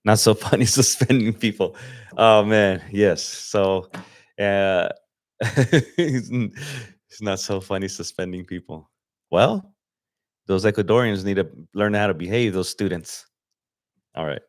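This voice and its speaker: A young man from Los Angeles chatting casually on a livestream as he edits photos, relaxed and slangy, thinking aloud with fillers. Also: fairly low pitch, measured pace, no echo, clean studio sound. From Los Angeles, USA. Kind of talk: casual livestream chat while editing. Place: home desk setup.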